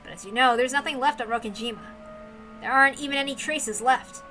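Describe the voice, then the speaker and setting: A calm male bodyguard from a visual novel, read by a woman, pressing his point in a measured, admonishing way. The voice is fairly high and bright, with music playing behind it. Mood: cautioning. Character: calm, persistent male bodyguard lecturing a girl.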